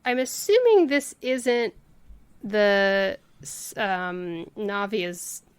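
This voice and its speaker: A woman's voice: medium pitch, expressive, drawn-out words, smooth, no room sound. A woman giving casual livestream commentary as she plays a video game, with playful exclamations and quaint interjections. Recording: game music behind the voice.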